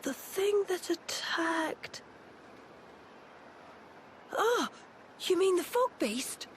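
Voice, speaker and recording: A woman's voice: very high-pitched, very animated, very slow, a little hiss or hum, full character voice. A woman voicing an eager, bookish young boy in a video game, chirpy and informal. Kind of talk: video game cutscene acting.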